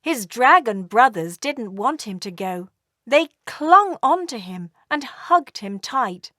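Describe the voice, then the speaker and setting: Woman reading a kids story, really expressive, takes her time, highish voice, dry close sound. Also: slow, no room sound. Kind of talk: scripted children's story narration, read aloud.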